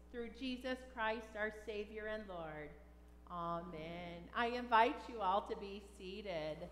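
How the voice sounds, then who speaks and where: Older female pastor, higher-pitched voice, solemn funeral liturgy delivered very slowly in a reverberant church. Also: a bit bright, slight background noise. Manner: measured, deliberate phrasing. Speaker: pastor leading a funeral service. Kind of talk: solemn liturgy and scripture reading. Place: large church sanctuary, indoors. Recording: echoey church with long reverb.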